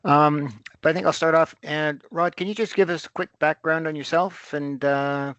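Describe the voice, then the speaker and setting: A man hosting an interview podcast, speaking haltingly with many pauses and fillers. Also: on the high side, fairly even intonation, a bit bright, dry recording, muffled recording. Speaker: host.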